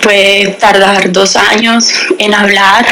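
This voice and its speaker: A woman's voice: mid-range voice, takes it slowly, background noise. A young woman, a language teacher giving a radio interview and candidly telling her story. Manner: lively, candid storytelling, acts out quoted dialogue.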